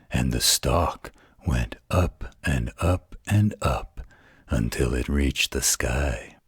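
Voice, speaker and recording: A man playing a gentle, patient werewolf who tells a bedtime story in a soothing, tender manner. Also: extremely low pitch, husky, no room sound, studio quality, full character voice. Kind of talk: intimate bedtime roleplay storytelling.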